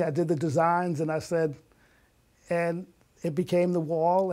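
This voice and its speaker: Higher-pitched man in his sixties, reminiscing. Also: darkish tone. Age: 60s. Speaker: interview guest, artist. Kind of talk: conversational personal reminiscence.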